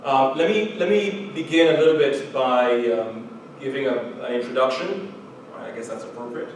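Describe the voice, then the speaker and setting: Echoey Trinidadian man lecturing from afar. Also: medium pitch. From Trinidad and Tobago. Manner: measured, earnest, with frequent "uh" and "um" and repeated words. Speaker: chemistry professor. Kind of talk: recorded university lecture. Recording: very echoey room from far off, background noise.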